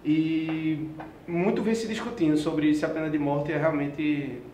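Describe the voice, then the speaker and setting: A man's voice: on the high side, steady tone, unhurried, warm tone, reverberant, lo-fi sound, a little hiss or hum. A man, moderating a panel debate earnestly, often restarting his sentences. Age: 20s. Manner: earnest, restarts and trailing phrases.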